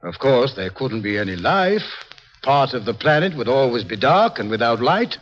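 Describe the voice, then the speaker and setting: A dull, lo-fi vintage radio recording of a man acting a composed male scientist. He lectures deliberately and a little dryly, with wide swings of pitch in the theatrical 1950s manner. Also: very animated, a little hiss or hum, close to an everyday voice. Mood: musing, explaining.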